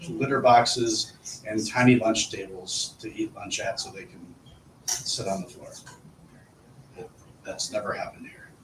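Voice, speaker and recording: A man's voice: on the low side, fairly even intonation, unhurried, pauses often. A man speaking as a school administrator at a meeting, informally explaining things and often repeating his phrases as he goes. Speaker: school administrator reporting to a board. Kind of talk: informal discussion at a public meeting. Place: indoor meeting room. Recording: echoey room, distant mic, faint noise.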